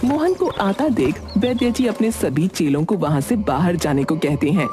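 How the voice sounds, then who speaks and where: Woman narrating a story over loud music. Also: lowish voice, lively intonation, normal speed.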